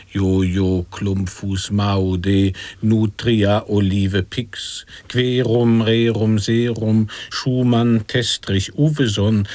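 An actor playing a pompous declaimer of nonsense verse in an avant-garde radio play, with emphatic, chopped, incantatory recitation. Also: male voice, lowish voice, darkish tone, dry recording.

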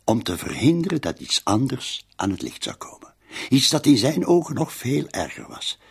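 An actor playing a patient, gently insistent police inspector in a radio drama, questioning tactfully with hesitant, repeated interjections. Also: male voice, mid-range voice, huge pitch swings, normal speed, gravelly, breathy edge, exaggerated voice.

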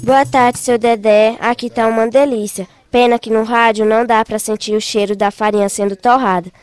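A woman's voice: fairly high pitch, clean tone, dry recording. A young female student reporter with a Northeastern Brazilian accent from Ceará, asking scripted interview questions. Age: child or young student. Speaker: radio reporter.

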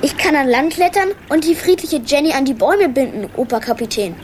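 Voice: high-pitched, expressive, fairly quick. A young performer playing an eager, adventurous child helmsman in a children's radio play, giving earnest lookout reports. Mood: eager, insistent. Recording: loud boat and water noise behind.

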